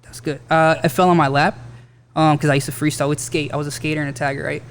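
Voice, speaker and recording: A man in his thirties with a high-pitched voice, a rapper chatting loosely on a podcast in a bar, swearing often and saying "like" a lot. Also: little pitch movement, quick pace, slight background noise. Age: 30s. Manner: laid-back, swears often, fills with "like". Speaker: rapper guest. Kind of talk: casual podcast chat.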